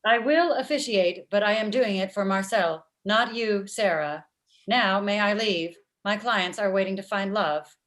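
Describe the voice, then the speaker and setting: A woman acts out a sarcastic female robot in a comedy. She speaks in a stilted, deadpan way, bluntly and without sympathy, at a middle pitch. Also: unhurried, close to an everyday voice. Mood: stiff, defiant. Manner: slightly stilted, deadpan, like a digital assistant. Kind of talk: table read of a comedy script. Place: indoor room at home, video call. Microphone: computer mic on video call.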